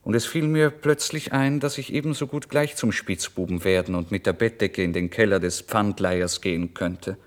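A man narrating a literary first-person story in a brooding, expressive way, moving between hushed and emphatic delivery. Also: fairly low pitch, moderate pace, few pauses. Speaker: audiobook narrator.

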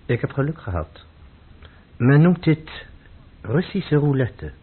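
An actor playing a grave, troubled man in a radio play, speaking formally and deliberately with a husky voice. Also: a little high, big pitch swings, heavily acted. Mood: calmly instructing. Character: grave, troubled man obsessed with purity, calmly instructing. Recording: muffled, phone-like old radio recording.

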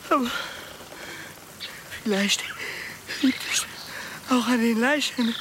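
Give a feverish, uneasy traveller in a radio play. The speech is extremely slow and hesitant, breaking into short phrases amid clear bird noise. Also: male voice, very high voice. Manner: halting, hesitant, broken phrases. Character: feverish, uneasy expedition companion. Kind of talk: German radio play acting.

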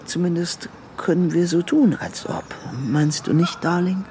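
A man's voice: high voice, steady tone, a little slow, mellow. An actor playing a deluded, lonely man in a radio play, chatting to an imagined sweetheart with murmured questioning tags, swinging from tender to curt. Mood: giddy, doting.

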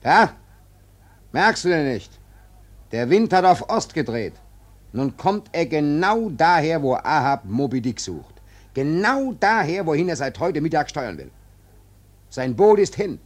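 Worried first mate on a whaler, sharp tongue, urgent, voice jumps up and down a lot, radio play. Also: male voice, fairly high pitch, very animated, a little slow, pauses often. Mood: urgent, bitter. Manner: urgent, sharp-tongued, swinging from muttered to shouted. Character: stern, anxious male first mate of a whaling ship. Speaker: actor in a radio drama. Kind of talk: German radio play acting.

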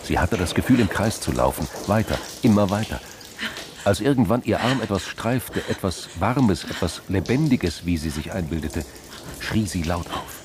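A man narrating a radio crime drama in a measured, literary storytelling manner. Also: sits low, animated, noisy background.

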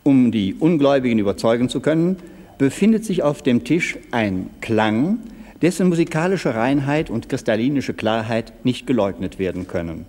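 A man's voice: medium pitch, expressive, moderate pace, a little mellow, a little hiss or hum, close to an everyday voice. A man speaking formal, articulate German diction in a scripted radio-essay dialogue, as a questioning partner. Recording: long reverberant hall.

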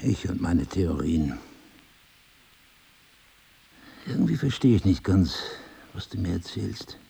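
An actor playing a bewildered, skeptical husband with amnesia, wryly self-mocking and probing in a naturalistic relationship drama. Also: male voice, low-pitched, big pitch swings, normal speed, creaky, dark tone, slightly rough, heavily acted, rough recording. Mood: wry, puzzled. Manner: probing, sardonic, questioning. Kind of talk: two-hander relationship drama acting, naturalistic.

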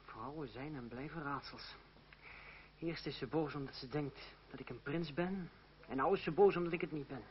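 An actor playing a proud, wry young suitor in a Dutch radio play, speaking in a measured, ironic way. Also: male voice, on the high side, lightly acted. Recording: dull, hissy old radio recording.

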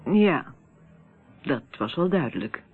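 Husky, uneasy, polite married woman. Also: low voice, very animated, takes it slowly, full character voice. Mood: apologetic. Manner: polite, hesitant, defensive. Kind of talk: radio play acting. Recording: muffled, narrow-band old radio recording.

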